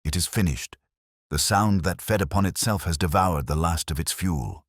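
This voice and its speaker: Man acting cerebral detective, bass-deep, solemn, deliberate, aphoristic, dry recording, storybook mystery. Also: husky, no room sound. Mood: grave, final. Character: cerebral, grave male detective, quietly philosophical.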